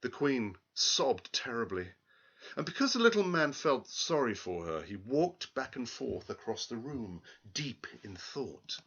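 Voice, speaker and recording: A man reads a fairy tale aloud with a bright, forceful tone. His delivery is slow and dramatic, with lively pitch swings. The recording is a little dull and lo-fi. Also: husky. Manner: dramatic, emphatic. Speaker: storyteller. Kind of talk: expressive children's story reading.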